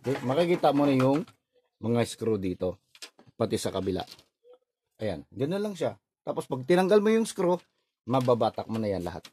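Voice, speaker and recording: A man's voice: mid-range voice, big pitch swings, moderate pace, pauses often, mellow, husky. A man with a Filipino accent narrating an informal hands-on repair tutorial in short, step-by-step instructional phrases. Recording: noisy recording with clicks.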